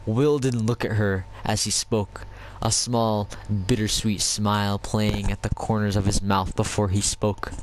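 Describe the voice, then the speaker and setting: Low guy reading fanfic deadpan, headset mic, faint hiss behind. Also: a little slow, low-quality recording. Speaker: reader. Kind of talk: reading fan fiction aloud at home. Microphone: game console headset.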